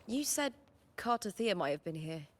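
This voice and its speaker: A woman's voice: medium pitch, big pitch swings, normal speed. A woman playing a confident, composed female warrior who guides others in a video game. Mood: matter-of-fact. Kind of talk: scripted video game dialogue.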